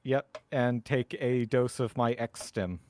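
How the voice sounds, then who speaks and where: A man's voice: medium pitch, takes it slowly, darkish tone. A man chatting casually as a player in an online tabletop roleplaying game, talking through his moves.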